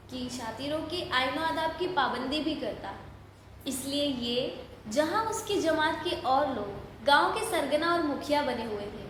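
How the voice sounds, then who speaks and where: A woman's voice: high voice, a bit bright. A woman giving scripted literary narration for an audio play. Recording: very echoey hall, faint noise.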